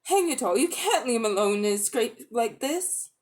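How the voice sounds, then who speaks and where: A woman's voice: fairly high pitch, lively intonation, moderate pace, a little mellow, pitched above the performer's own voice, close to an everyday voice. A woman voicing an earnest, exasperated young schoolboy in a dramatic storybook reading. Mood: urgent, pleading.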